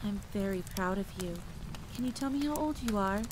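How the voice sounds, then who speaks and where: A woman voicing a gentle but commanding female field medic with a soft voice in an audio story. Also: medium pitch, measured pace, lightly acted. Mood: reassuring. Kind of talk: acted audio story dialogue. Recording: rain noise behind.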